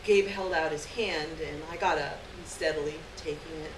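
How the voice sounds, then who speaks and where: A woman giving an expressive dramatic reading of a literary short story live on stage, acting out the dialogue. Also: low voice, moderate pace. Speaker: story reader. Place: live stage event, recorded from a distance. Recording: roomy distant live recording, clear background noise.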